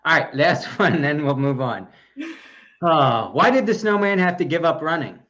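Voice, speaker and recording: A man's voice: a little high, animated, normal speed, fairly bright tone, lo-fi sound. A man co-hosting a running podcast in casual chat, goofy and full of corny jokes delivered with mock pride. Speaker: podcast co-host.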